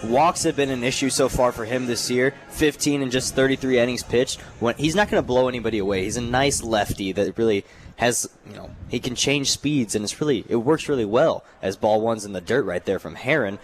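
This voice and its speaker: A man's voice: medium pitch, a little fast, faint music behind, poor audio. An American man giving conversational analysis as a color commentator on a live radio baseball broadcast.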